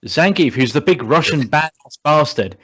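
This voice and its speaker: A man's voice: fairly high pitch, expressive, normal speed, fairly bright tone, husky, lo-fi sound. A man chatting casually as a podcast co-host, insistently arguing his opinion. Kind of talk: casual group chat.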